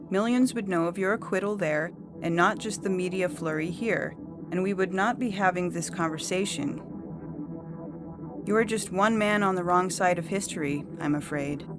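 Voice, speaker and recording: A composed female judge played by a woman reader speaks in a slightly low voice. Her delivery is formal, measured and coolly condescending. Music runs underneath this science fiction audio story. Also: close to an everyday voice.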